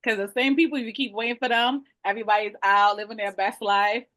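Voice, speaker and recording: A woman with a Jamaican accent, a realtor talking on a webinar panel over a video call in a blunt, animated, colloquial way. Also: mid-range voice, fast talker, dry recording.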